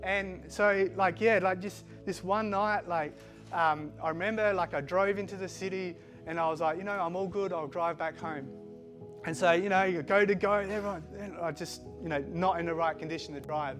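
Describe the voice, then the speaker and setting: Halting middle-aged man, mixed Australian accent. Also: high-pitched, steady tone, fairly bright tone. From Switzerland, raised in Australia. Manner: halting, many fillers and restarts. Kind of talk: personal testimony. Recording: music playing behind the voice.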